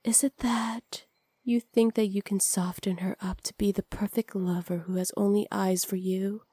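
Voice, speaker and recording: A woman playing a seductive, teasing witch in an intimate ASMR roleplay, sly and possessive, often slipping into breathy whispers close to the microphone. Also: mid-range voice, steady tone, warm tone, no echo, natural voice. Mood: sly, probing. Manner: slow, breathy, often whispered, flirtatious purr. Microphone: close studio microphone.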